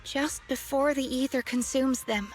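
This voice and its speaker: An actress playing an earnest, whimsical young woman night watcher in a video game, expressive and affectionate. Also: sits high, unhurried, faint music behind. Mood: solemn storytelling. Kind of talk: video game voice acting, story dialogue.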